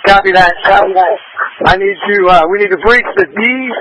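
Casting: man, firefighter, urgent radio requests, very high and sharp voice. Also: moderate pace, poor audio. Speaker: firefighter requesting rescue help. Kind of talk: emergency radio report. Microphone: two-way radio.

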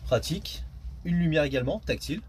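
A man's voice: on the high side, animated, fast talker, darkish tone, a little hiss or hum. A man, giving a casual product walkthrough inside a small camper van. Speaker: camper van dealer presenting a vehicle.

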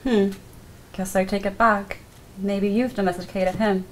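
A woman's voice: medium pitch, fairly even intonation, a little slow, natural voice, low-quality recording. A wry policewoman in a film drama, read by an actress. She teases casually in a mid-range voice. There is faint noise in the recording. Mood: teasing. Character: teasing, wry female police officer. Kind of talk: table read of a film script.

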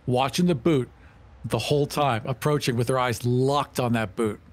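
Man playing a tabletop game, mid pitch. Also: fairly even intonation, moderate pace. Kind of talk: casual improvised game narration. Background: music.

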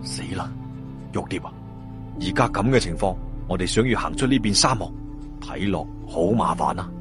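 Man voicing a low-voiced steadfast young hero. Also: animated, moderate pace. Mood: grave. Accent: Hong Kong Cantonese. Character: steadfast, protective young male fantasy hero. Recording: music behind the voice.

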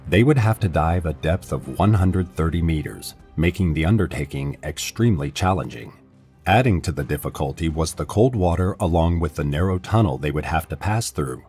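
A man narrating a scripted documentary in a measured, serious storytelling manner. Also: low-pitched, darkish tone, slightly rough.

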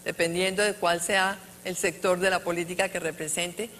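A middle-aged woman speaking earnestly on a conference panel, with pauses between phrases, into a handheld mic on stage. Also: on the low side, flat delivery, fairly bright tone, slight background noise. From Colombia. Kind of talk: panel discussion answer. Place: large conference hall stage.

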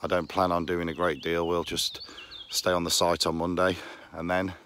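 Croaky deep-voiced man vlogging outdoors. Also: level tone, moderate pace, fairly bright tone, a little hiss or hum. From England. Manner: jokey, teasing banter. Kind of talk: casual vlog chat. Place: outdoors by a lake and countryside path. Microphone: phone camera held close.

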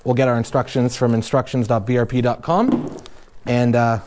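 Mellow-voiced man explaining a garage install. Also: medium pitch, normal speed. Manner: relaxed, plain-spoken, uses many fillers. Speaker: installer demonstrating a snowmobile accessory. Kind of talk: casual step-by-step install walkthrough. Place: indoor garage workshop.

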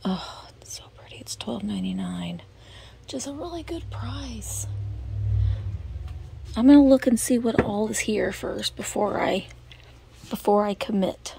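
Hushed, creaky-voiced American woman. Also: mid-range voice, sing-song, measured pace, pauses often. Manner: hushed and gentle, often dropping to a near-whisper. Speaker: vlogger showing finds while shopping. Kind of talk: casual, murmured shop-along commentary. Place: indoors in a cluttered antique mall. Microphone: handheld camera's built-in microphone. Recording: clear ambient store noise, sensitive camera microphone.

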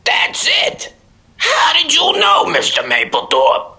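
A man's voice: extremely high pitch, huge pitch swings, slow pace, vocal fry, sharp tone, exaggerated voice. An actor playing a chatty, over-friendly, pushy male motel clerk in a radio drama, with an effusive, folksy manner. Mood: jovial, pushy.

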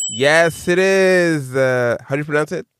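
A man hosting a casual trivia podcast, reading quiz questions slowly and deliberately, then chatting loosely between them. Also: on the high side, a bit bright, smooth. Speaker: quizmaster.

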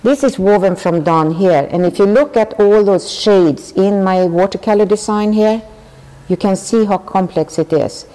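An older woman from Sweden with a Swedish accent, speaking thoughtfully with halting restarts as she answers questions in an interview. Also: medium pitch, dark tone, big-room sound, low-quality recording. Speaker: tapestry artist. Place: large weaving studio with looms.

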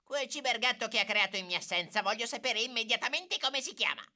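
A woman's voice: on the high side, quick pace, pushed and bright. A woman voicing a proud, cocky male cyborg cat in a cartoon dub, self-assured and commanding. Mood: demanding. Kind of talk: Italian cartoon dub acting.

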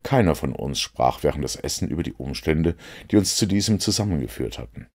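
A man reading a literary story aloud in a measured, deliberate way as an audiobook narrator. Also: extremely low pitch, lively intonation, darkish tone, slightly rough. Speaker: audiobook reader.

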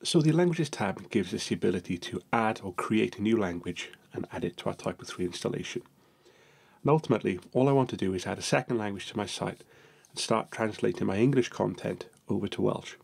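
Male tutorial narrator, lowish gravelly voice, a bit husky, steady step-by-step delivery. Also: a little fast.

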